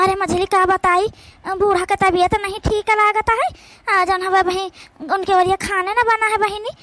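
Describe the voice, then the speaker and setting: A woman's voice: very high-pitched, pushed and bright. A woman with an Awadhi accent voicing a fretting, tender-hearted village daughter-in-law in a cartoon. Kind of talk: amateur cartoon voice acting.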